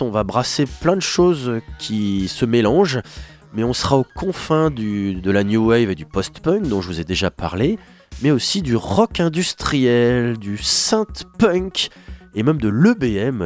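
A man hosting a radio music show, talking casually with a stuffy nose and a hoarse voice, rambling with many fillers. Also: lowish voice. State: congested and hoarse. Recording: radio studio with faint music underneath. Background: faint instrumental music.

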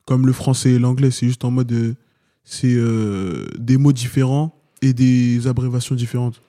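A man's voice: mid-range voice, steady tone, normal speed, warm tone. Hesitant young man from Guadeloupe. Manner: hesitant, many fillers. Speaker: interviewee. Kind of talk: casual answers to questions.

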